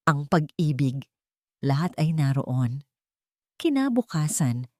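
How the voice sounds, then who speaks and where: A woman's voice: on the low side, darkish tone, dry recording, studio quality. A woman narrating a scripted romantic drama as a storyteller.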